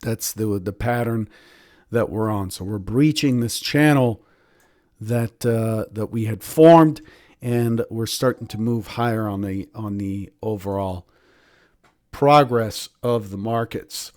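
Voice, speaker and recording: A man, a professional trader and show host, giving daily market analysis in a hoarse voice, clearing his throat often. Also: on the low side, takes it slowly, no room sound. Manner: steady analytical talk with frequent throat clearing.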